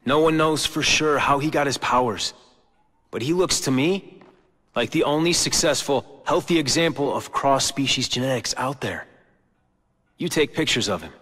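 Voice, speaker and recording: A man's voice: medium pitch, lively intonation, moderate pace, bright, forceful tone. A man with an American accent voicing an embittered, brooding young corporate heir in a video game cutscene. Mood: intense, pleading. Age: young adult. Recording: long hall-like reverb. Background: background music.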